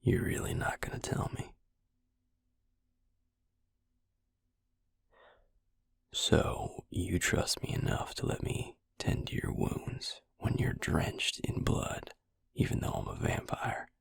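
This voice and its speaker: A man playing a protective, exasperated vampire in an intimate audio roleplay, speaking slowly and wryly in a low, breathy near-whisper. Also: sing-song, dry recording, exaggerated voice. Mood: wry, probing. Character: protective, exasperated male vampire tending a wounded werewolf. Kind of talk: intimate audio roleplay acting, addressed to the listener.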